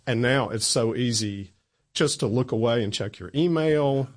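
An older man from Tennessee with a bass voice, chatting in a relaxed, folksy way as an interview guest. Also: moderate pace. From Tennessee, USA. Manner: relaxed, folksy, good-humored. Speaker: guest, newspaper columnist. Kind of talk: casual interview chat.

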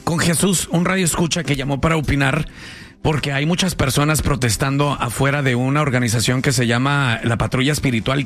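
A man's voice: mid-range voice, fairly bright tone. A man with a Mexican accent hosting talk radio in an informal, inquisitive, conversational way. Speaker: radio show host.

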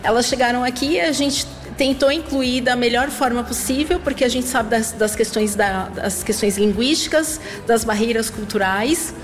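A woman's voice: on the high side, normal speed, in a large hall, faint music behind. A woman school vice-principal answering interview questions, with repeated restarts and frequent fillers. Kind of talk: interview soundbite.

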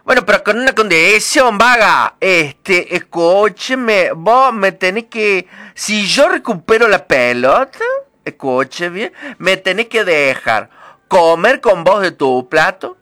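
A man voicing a pushy, streetwise male frog with a Córdoba accent who talks loud and drawling. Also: high-pitched, lively intonation, slow pace, voice pushed up, heavily acted.

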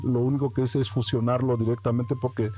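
A man with a Mexican Spanish accent, a guest painter speaking calmly in a radio interview, with some fillers. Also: mid-range voice. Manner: calm, unhurried, many fillers.

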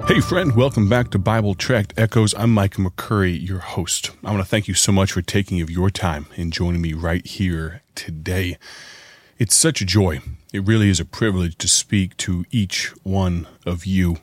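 A man hosting a radio gospel program with a slightly raspy, hoarse voice, talking in an earnest and conversational way. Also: low-pitched, moderate pace, dry recording, soft background music. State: hoarse from preaching at camp. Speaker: radio evangelist host. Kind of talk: warm radio sermon introduction.

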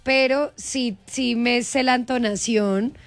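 A woman's voice: on the high side, steady tone, takes it slowly, dry recording. Playful woman radio co-host, dry sound. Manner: playful, mock-indignant. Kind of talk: joking call-in radio banter.

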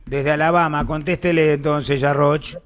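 A man's voice: on the high side, fairly even intonation, normal speed. A man with an Argentine accent hosting a live radio show, chatting and putting questions to others. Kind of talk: live radio chat with interviews and sponsor reads.

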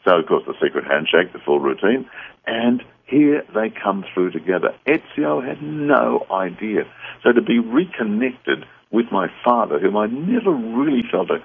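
A man with an Australian accent and a husky voice, speaking earnestly as a guest on a radio talk show. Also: fairly low pitch, very animated, normal speed, slight background noise. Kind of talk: earnest interview conversation. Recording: dull phone-like radio line.

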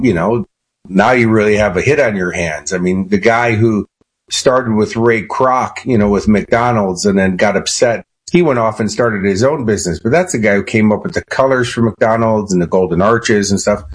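A man with a Chicago accent speaks as an interview guest, his voice low and husky. He talks in an animated, rambling way, full of "you know" and quick restarts. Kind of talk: casual interview.

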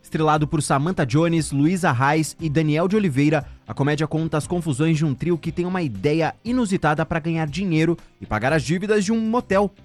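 A man's voice: on the high side, fairly quick, few pauses. A man presenting scripted culture news as a broadcast host. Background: faint music.

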